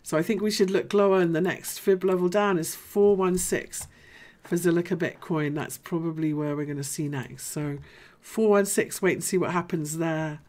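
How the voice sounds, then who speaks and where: A woman with a deep voice, hosting a live market analysis show. She talks casually and thinks aloud as she goes. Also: fairly even intonation, normal speed, hardly any pauses, darkish tone. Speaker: host.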